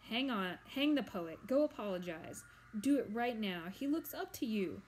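A woman voicing a bossy, fussy old witch who scolds loudly in a raised voice. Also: mid-range voice, moderate pace, slight background noise, close to an everyday voice, rough recording. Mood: indignant. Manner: loud scolding.

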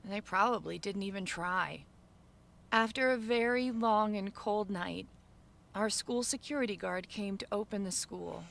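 A woman giving measured, subdued first-person narration for an animated story video. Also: medium pitch, gravelly.

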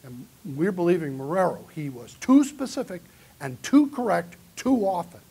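A man's voice: sits high, very animated, normal speed, creaky, mellow, slightly rough. A man giving a conference keynote in a large hall, lecturing earnestly and taking audience questions. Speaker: keynote speaker. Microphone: far camera in the audience.

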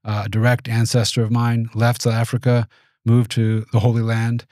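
A man in his forties, raised in the United States, giving thoughtful, measured interview answers in a small studio. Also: mid-range voice, monotone, darkish tone, no echo. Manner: measured, thoughtful, with frequent restarts. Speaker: guest, venture capitalist. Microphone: studio mic on boom arm.

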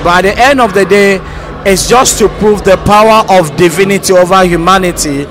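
A middle-aged man with a Nigerian accent explains a story in an interview, speaking deliberately and haltingly into a handheld microphone. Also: sits high. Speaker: stage actor being interviewed. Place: large bare indoor hall. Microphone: handheld microphone held at the mouth. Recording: handheld mic with clear background noise.

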